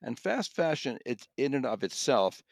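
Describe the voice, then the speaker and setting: A man's voice: medium pitch, big pitch swings, normal speed, breathy edge, dry recording. A man with a mid-range voice gives a conversational audiobook review in a dry, close recording. He is discursive and circles back to reword his points. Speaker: audiobook reviewer and podcast co-host.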